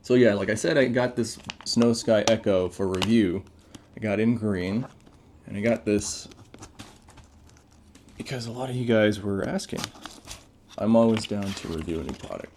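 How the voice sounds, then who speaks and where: A man's voice: fairly low pitch, moderate pace, long pauses, darkish tone, slight background noise. A man giving casual, off-the-cuff unboxing commentary as a tech reviewer, laid-back and informal with plenty of slang.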